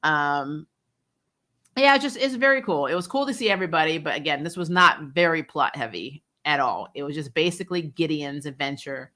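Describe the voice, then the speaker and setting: A woman giving a casual, opinionated recap as an online reviewer, expressive and informal in her slangy delivery. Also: deep voice, brisk. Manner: expressive, informal slang, frequent laughing asides. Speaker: YouTube TV show reviewer, host.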